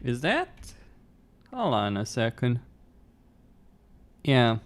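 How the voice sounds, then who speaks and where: A man's voice: medium pitch, huge pitch swings, very slow. A young man from Romania, streaming a game and chatting bluntly with viewers, laughing often and swearing freely. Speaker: gaming streamer. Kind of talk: casual live-stream chat, answering viewers.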